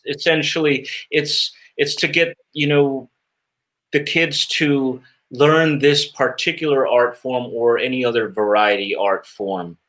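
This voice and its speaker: A man answering interview questions as a guest magician, earnest and reflective, often pausing and repeating words as he searches for phrasing. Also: mid-range voice, fairly bright tone, low-quality recording.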